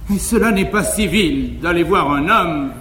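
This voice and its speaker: An actor playing a timid, familiar comic valet, excusing himself fearfully in a 1950s French classical stage comedy. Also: male voice, a little high, lively intonation, measured pace, a crowd in the background. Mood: timid, cautioning. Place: large theatre stage before an audience. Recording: echoey 1950s live theatre stage recording.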